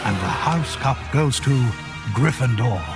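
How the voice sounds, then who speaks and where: An actor playing an elderly, solemn wizard headmaster in a video game, speaking with measured formality in a gravelly, husky voice. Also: male voice, medium pitch, expressive, over loud music. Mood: solemn, ceremonial. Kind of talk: ceremonial speech in a video game.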